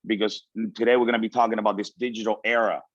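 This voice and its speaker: A man's voice: fairly low pitch, level tone, rapid-fire, no room sound. A man in his late thirties from Venezuela, a native Spanish speaker, giving an informal motivational talk in English with emphatic repetition. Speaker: guest speaker. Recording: dull, lo-fi audio.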